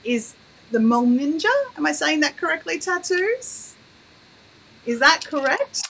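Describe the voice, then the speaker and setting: A woman's voice: high-pitched, lively intonation, a little slow, vocal fry, a bit bright, slight background noise, rough recording. A woman hosting a live video interview, reading out fan questions with upbeat enthusiasm. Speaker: host. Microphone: webcam on a video call.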